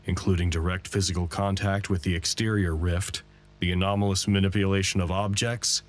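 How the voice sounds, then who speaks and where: An actor playing a detached narrator of classified files, reading formally in a scripted audio drama. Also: male voice, sits low, level tone, fairly quick, over music, lightly acted. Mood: clinical.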